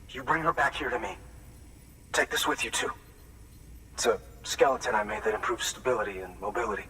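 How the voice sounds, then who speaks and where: A man's voice: medium pitch, sharp tone, distant mic, a little hiss or hum, low-quality recording. An actor playing a grieving father in a video game cutscene, blunt and resentful, swearing as he distrusts the people he blames.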